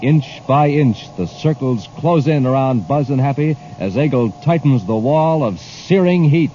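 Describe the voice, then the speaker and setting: A man's voice: medium pitch, lively intonation, moderate pace, warm tone. A man announcing and narrating a recap in the style of a 1950s radio serial. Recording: dull, noisy old radio recording.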